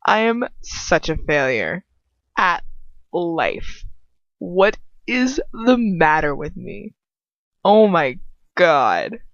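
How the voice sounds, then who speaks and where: A woman's voice: fairly low pitch, big pitch swings, very slow, pauses often, dry recording, highs cut off. A woman reading fan fiction aloud as an amateur, often wandering off script into casual, self-mocking, goofy asides.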